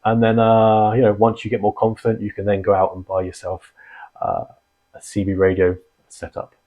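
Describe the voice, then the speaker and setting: A man hosting a casual explainer on radio gear, rambling and filling pauses with ums and restarts. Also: on the low side, flat delivery, mellow. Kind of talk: casual explanatory vlog talk. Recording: dull lo-fi sound.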